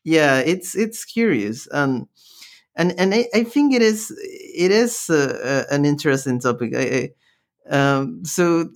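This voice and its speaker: Creaky-voiced man, halting interviewer. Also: fairly high pitch. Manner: halting, repeats words as he forms thoughts. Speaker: interview host. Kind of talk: casual conversational interviewing.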